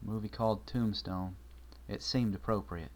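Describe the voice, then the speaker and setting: A man reading a calm, wry man, low and measured. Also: natural voice. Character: calm, wry man comforting his friend.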